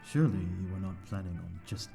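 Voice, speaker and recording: A man's voice: sits low, brisk, vocal fry, dark tone, soft background music. A man playing a courteous, lonely vampire host in an ASMR audio roleplay, speaking in a formal, flowery, slightly flustered and hesitant way. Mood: gentle, reassuring. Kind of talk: ASMR audio roleplay, scripted character acting.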